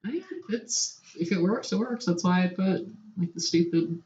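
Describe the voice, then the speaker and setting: A woman's voice: on the low side, normal speed, dark tone. A woman co-hosting a podcast, bantering and improvising in a casual, playful way. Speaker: podcast co-host. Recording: echoey room, dull lo-fi audio.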